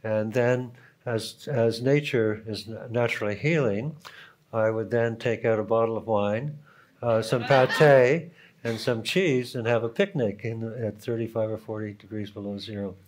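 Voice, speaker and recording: A man with a Canadian accent speaking on a conference panel, telling a relaxed anecdote full of asides. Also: lowish voice, normal speed. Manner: relaxed storytelling with asides and soft chuckles. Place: conference hall.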